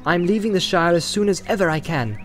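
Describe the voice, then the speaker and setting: Man voicing an earnest, burdened gentleman hobbit. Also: high-pitched, animated, brisk, soft background music, pitched above the performer's own voice. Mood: grave resolve.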